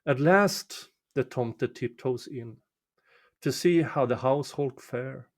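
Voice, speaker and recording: A man reading a folk poem aloud as a storyteller, measured and deliberate, pausing between short phrases. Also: mid-range voice, darkish tone. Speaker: amateur reader of a poem. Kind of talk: slow, dramatic storytelling recitation of a winter folk poem.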